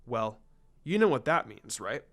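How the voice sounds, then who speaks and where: A man voicing a laid-back, teasing ninja teacher in a casual, unhurried, cool manner. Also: medium pitch, big pitch swings, creaky, a bit bright, voice pushed down, exaggerated voice. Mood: falsely sweet.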